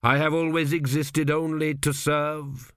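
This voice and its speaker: A man voicing a very old high priest in an audiobook, calm, grave and measured, with quiet authority. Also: dry recording, clean studio sound. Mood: solemn insistence. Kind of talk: audiobook character voice.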